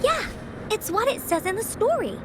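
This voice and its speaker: A woman voicing a cheerful, excitable little girl adventurer in a video game, playful and bubbly. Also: very high-pitched, moderate pace, rough recording. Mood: eager. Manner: refers to self in third person, bubbly. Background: music.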